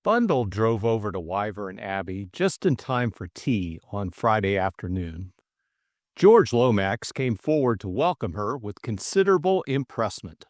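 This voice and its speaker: Animated male audiobook narrator. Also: fairly low pitch, measured pace. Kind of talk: expressive literary audiobook narration.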